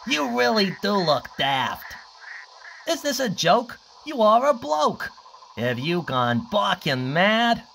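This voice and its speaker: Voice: mid-range voice, sing-song, takes it slowly, few pauses, slight background noise, pitched above the performer's own voice, exaggerated voice. A storyteller voicing a pack of mean hyenas who speak in a mocking, sneering way. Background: soft music.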